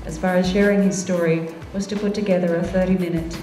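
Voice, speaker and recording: A woman narrates in a slow, even, monotone voice pitched on the low side. The sound is roomy, and faint music plays behind her. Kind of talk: scripted narration.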